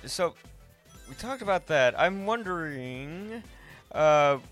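A man hosting a podcast, talking casually about film in an animated, halting way that often repeats words. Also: a little high, faint music behind. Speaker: podcast host.

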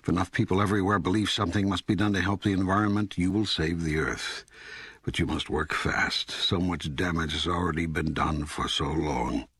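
An ageless, patient God in an audiobook dialogue. The voice is rather low and husky, recorded very dry. It teaches with measured, authoritative statements. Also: male voice, steady tone, a bit bright, no echo. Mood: firm, grave. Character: God, an ageless, patient, all-knowing male deity. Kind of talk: scripted audiobook dialogue reading.

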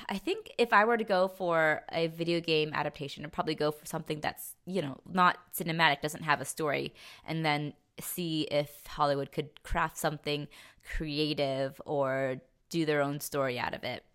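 A woman's voice: deep voice, normal speed, fairly bright tone, clear, resonant tone. A young woman co-hosting a podcast, chatting casually about pop culture and rambling as she thinks aloud. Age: young adult. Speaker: podcast co-host.

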